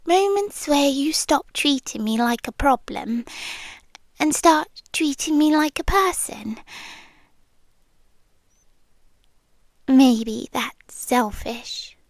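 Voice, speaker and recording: A woman's voice: high-pitched, animated, dry recording, exaggerated voice. A British woman playing a lonely, obsessive, affectionate stalker girl in an intimate ASMR roleplay, speaking tenderly and breathily, slipping into whispers. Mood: tender, yearning. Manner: slow, breathy, tender, with pauses and sighs. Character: lonely obsessive bodiless young female stalker, possessively affectionate. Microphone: close microphone.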